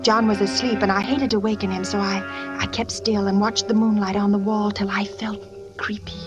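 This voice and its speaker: An actress playing a nervous, fragile young wife sinking into madness, intense and confiding in the style of old American radio suspense. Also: female voice, mid-range voice, level tone, normal speed, lo-fi sound, over music, close to an everyday voice. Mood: haunted, confiding. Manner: intense, anguished, confiding, dramatic. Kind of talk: 1940s-50s American radio suspense acting.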